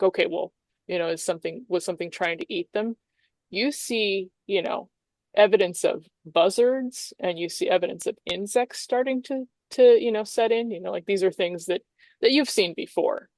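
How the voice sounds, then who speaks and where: A woman's voice: fairly low pitch, darkish tone, dry recording. A woman game master improvising tabletop game narration in a conversational, explanatory way.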